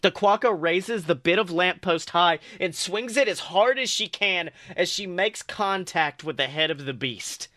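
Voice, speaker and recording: A young man hosts a casual podcast with a high, bright voice and a jokey, hyped manner. He is recorded close and dry, with no room sound. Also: a little slow, few pauses, dry recording. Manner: hyped, joking. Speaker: podcast co-host. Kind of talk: casual podcast chat and story reading.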